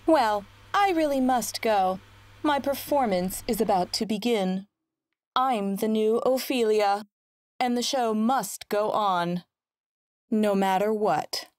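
A woman's voice: mid-range voice, slow pace, a little mellow, natural voice. A woman playing a scheming, jealous young actress in a video game, boastful, haughty and theatrical. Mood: proud. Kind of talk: dramatic video game voice acting.

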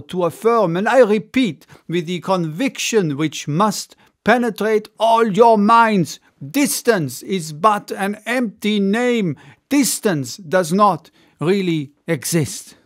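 A man's voice: high-pitched, creaky, heavily acted. A man voicing a bold, grandiloquent orator addressing a crowd in an emphatic, declamatory manner.

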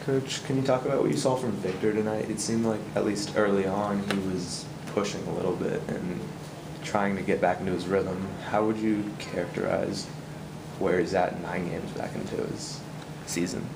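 Low, gravelly male reporter. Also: fairly even intonation, normal speed. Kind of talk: press conference question. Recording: echoey room, clear background noise.

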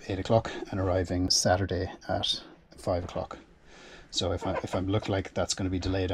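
A man with a low, level voice talks through his plans in a relaxed vlog style, working things out aloud and often doubling back on words. He records aboard a small boat, and a steady layer of noise sits behind him. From Ireland. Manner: methodical, thinking aloud, repeats words. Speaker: solo sailor vlogger. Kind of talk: casual explanatory vlog narration. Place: small boat cabin and engine bay.

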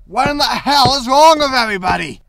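A man's voice: very high-pitched, lively intonation, a little fast, creaky, a bit bright, slight background noise, heavily acted. A man playing a harried, wry spaceship captain with a rambling manner in a science fiction comedy audio drama. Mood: exasperated.

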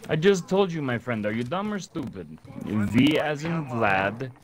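A man's voice: medium pitch, animated, measured pace, crowd noise, lightly acted. A man putting on a fake Russian accent as a boastful gamer who talks about himself in the third person, in broken English, over in-game voice chat. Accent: put-on Russian. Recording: game noise and gunfire behind.

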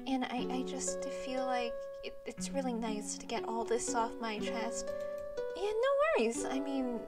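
A young woman doing casual, comedic character dialogue for an amateur animation, with a slangy, silly, halting delivery. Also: fairly high pitch, animated, loud music behind the voice. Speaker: creator and voice actor of an animated web series.

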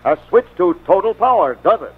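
A man delivering ad copy in a brisk, persuasive way, his voice a bit raised and very lively in pitch. It sounds husky and narrow, like an old radio broadcast heard through a phone line. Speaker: commercial announcer. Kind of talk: 1950s radio ad read. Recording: muffled old radio transcription.

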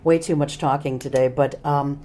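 An older woman hosting a local TV talk show, slightly hoarse, asking follow-up questions in a studio on a lapel mic. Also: sits low, fairly even intonation, moderate pace, mellow. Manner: affirming, asks follow-up questions. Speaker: host. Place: TV studio, indoors.

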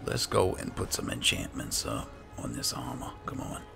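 A man casually narrating roleplay gameplay in character, muttering in a low-key way and trailing off. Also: animated, moderate pace, creaky, music in the background. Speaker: gamer playing in character.

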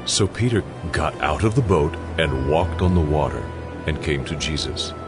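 A man's voice: extremely low pitch, expressive, moderate pace. A man giving a dramatic scripted scripture narration. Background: music.

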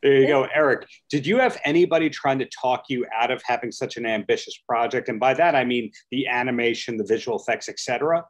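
A man's voice: medium pitch, fast, few pauses. A man hosting a roundtable interview over a video call, steering questions to each guest in turn. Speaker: podcast host.

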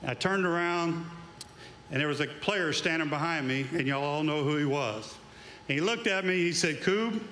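Middle-aged guy at an awards stage, bright voice bouncing around the pa, faint crowd hum behind. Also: a little high, echoey room. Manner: folksy storytelling, building to emphatic tribute. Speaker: former coach presenting an award. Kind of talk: induction speech with stories. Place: outdoor stage at an evening awards event. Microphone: handheld mic.

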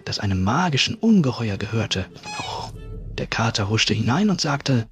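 A man's voice: medium pitch, big pitch swings, normal speed. A man narrating a scripted fairy tale for a podcast. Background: music.

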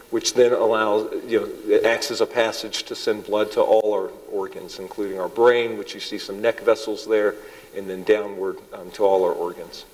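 Man, loud voice, halting public lecture, lots of ums, big echoing hall. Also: fairly low pitch. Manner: halting, many ums and restarts. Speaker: cardiologist giving a public talk. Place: large hall with audience. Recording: long hall reverb.

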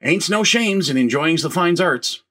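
An actor playing a good-natured, earnest hick who adds extra s sounds to words in a comedy script reading. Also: male voice, fairly high pitch, lively intonation, a little slow, a bit bright. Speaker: actor in a script table read.

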